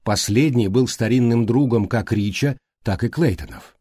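A man reading a mystery audiobook as scripted narration, with measured, clearly phrased delivery. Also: mid-range voice, mellow, no echo, clean studio sound.

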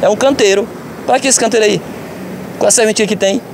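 A man's voice: high voice, fairly quick. A middle-aged man, complaining emphatically and repetitively in an outdoor street interview. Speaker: market vendor interviewee. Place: open-air market. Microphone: handheld reporter microphone. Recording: clear outdoor street noise.

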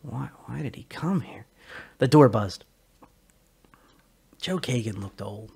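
A man narrates a story in a dramatic style. His voice is husky and highly varied in pitch, with emphatic, theatrical phrasing. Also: animated, slow, a little mellow. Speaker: narrator reading a short story.

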